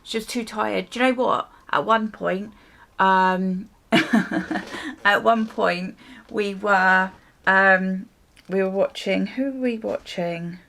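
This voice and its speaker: A woman with a noticeably creaky, mid-pitched voice talks like a vlogger telling a story while getting ready. She rambles casually and often breaks off to correct or question herself. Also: slow pace, fairly bright tone. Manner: rambling, self-interrupting, chuckly.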